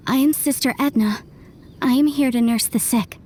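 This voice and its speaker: A woman voicing a calm adult nun who nurses the sick, speaking gently and plainly. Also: high-pitched, moderate pace, slight background noise, lightly acted. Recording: game soundtrack music behind.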